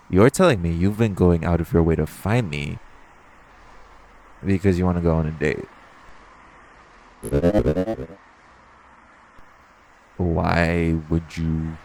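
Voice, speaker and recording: A flirty supervillain thief, acted by a man with a low-pitched voice. He talks straight to the listener in a casual, teasing audio-roleplay style. His delivery is self-assured yet conversational, broken by halting pauses. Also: fairly even intonation, a little mellow, slight background noise, rough recording. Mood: incredulous, teasing. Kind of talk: intimate second-person audio roleplay, conversational acting.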